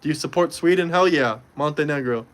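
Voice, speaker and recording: Chatty young American man, slightly high voice. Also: fairly quick, fairly bright tone. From Florida, United States. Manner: loose, conversational, repeats himself. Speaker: livestream host. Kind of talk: casual livestream chat, reading viewer comments. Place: indoors in Montenegro.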